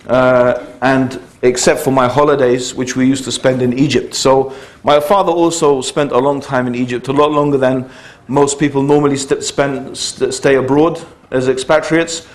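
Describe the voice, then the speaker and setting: A man's voice: medium pitch, fairly even intonation, normal speed. A man in his fifties, telling the story of his upbringing in a loose, conversational public talk, with frequent fillers and restarts. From United Kingdom.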